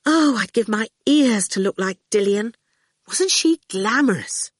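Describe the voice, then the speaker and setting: A woman's voice: sits high, very animated, measured pace, no echo, clean studio sound, voice pushed up. A woman voicing an exasperated, wistful young woman in an audiobook. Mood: yearning. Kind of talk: audiobook character voice.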